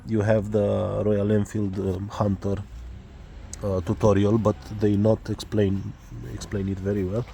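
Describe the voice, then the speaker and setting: Slow hesitant man, Romanian accent. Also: fairly low pitch, completely flat delivery, vocal fry, dark tone, slight background noise. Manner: halting, many fillers, searching for words. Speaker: motorcycle tutorial maker. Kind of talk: hesitant spoken tutorial.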